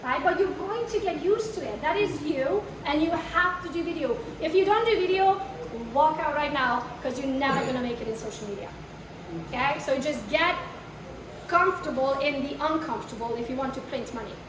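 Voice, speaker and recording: Accented woman trainer, high voice, blunt and brash with a live audience, echoey room, distant mic. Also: animated, brisk, background noise. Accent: foreign. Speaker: real estate marketing trainer. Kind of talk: live workshop talk to an audience.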